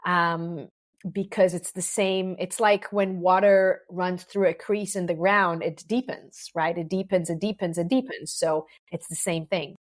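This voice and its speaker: A woman's voice: lowish voice, fairly even intonation, measured pace. A woman with an Israeli accent, a native Hebrew speaker, talking earnestly as a podcast guest and explaining ideas through analogies, often restarting phrases and checking in with "right?". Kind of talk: explanatory interview talk.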